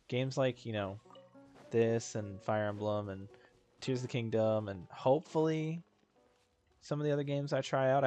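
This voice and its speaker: A man doing casual, informal gameplay commentary on a livestream, thinking out loud and swearing freely. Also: fairly low pitch. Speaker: game streamer. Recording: game music behind the voice. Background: video game music.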